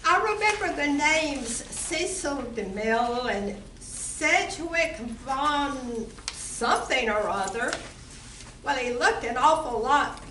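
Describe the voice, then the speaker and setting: A dreamy barmaid with starlet airs played by a woman speaks high and slow in a theatrical radio-play manner. The recording is distant and echoey. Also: expressive, sharp tone, slight background noise, full character voice. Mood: dreamy, reminiscing. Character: returning barmaid Connie, dreamy faded Hollywood starlet. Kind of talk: amateur old-time radio play acting.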